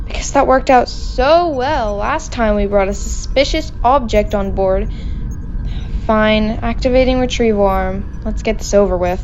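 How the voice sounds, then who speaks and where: A woman's voice: mid-range voice, measured pace, darkish tone, muffled recording, a little hiss or hum, natural voice. A woman playing a weary, sarcastic spaceship engineer, dry and exasperated, in a comedic sci-fi audio drama. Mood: sarcastic, resigned.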